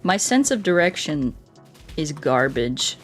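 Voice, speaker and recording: A woman's voice: low voice, sing-song, slow. A woman chatting casually as she livestreams a game, playful and self-deprecating, speaking expressively to her viewers. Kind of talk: casual gameplay chat, reading out viewer comments. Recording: music playing behind the voice. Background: game music.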